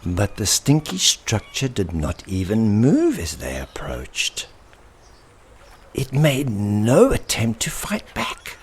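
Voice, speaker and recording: Deep, slow, theatrical male storyteller. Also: huge pitch swings, slightly rough. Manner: dramatic, drawn-out phrasing dropping into hushed whispers. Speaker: author reading his own story.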